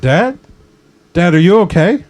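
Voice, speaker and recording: A man's voice: high-pitched, animated, a little slow, a little mellow, slight background noise. A man playing a businesslike, dutiful office worker in his fifties at a screenplay table read. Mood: concerned.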